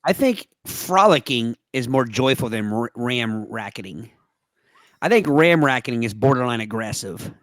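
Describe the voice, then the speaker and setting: A man's voice: mid-range voice, lively intonation, normal speed, fairly bright tone, no room sound. A man co-hosting a casual podcast, chatty and anecdotal, with a lingering cough. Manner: chatty, storytelling, laughs easily. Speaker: podcast co-host.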